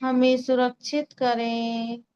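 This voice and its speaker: A woman leading a guided meditation in a calm, soothing way, giving unhurried instructions with long pauses. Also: on the high side, clear, resonant tone, muffled recording. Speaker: meditation guide and Reiki healer.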